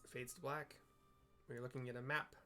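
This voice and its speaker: A man's voice: mid-range voice, level tone, moderate pace, pushed and bright, faint music behind. A man narrating a video game as he plays, moving between reading aloud and frank, casual commentary with some swearing and stumbles. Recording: clear background noise.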